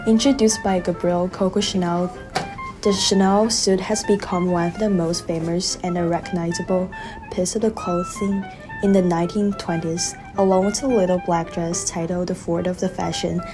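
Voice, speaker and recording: Lowish woman as 1920s fashion spokeswoman over music. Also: steady tone, moderate pace, natural voice. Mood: promotional. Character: promotional fashion house spokeswoman on 1920s radio. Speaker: commercial announcer. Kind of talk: scripted radio commercial.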